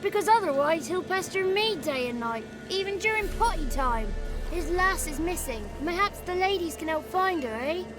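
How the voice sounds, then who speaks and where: High pitched cheeky little swamp creature boy, theatrical country talk, game music behind. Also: animated, moderate pace. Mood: pleading, cheeky. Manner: theatrical, quaint rustic turns of phrase. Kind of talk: video game voice acting. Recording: music under the voice.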